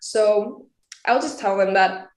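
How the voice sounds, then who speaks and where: A woman's voice: medium pitch, slow pace, echoey room. An eighteen-year-old woman from Iceland, a pageant contestant answering interview questions earnestly and laughing often. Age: young adult.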